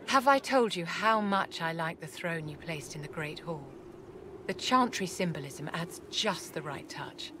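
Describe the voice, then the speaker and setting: An elegant, imperious court sorceress from a fantasy game. Her voice sits fairly low and she speaks with poise and condescension, every phrase measured and formal. Clear ambient noise runs behind her. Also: expressive. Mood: poised, calculating. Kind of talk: video game cutscene acting.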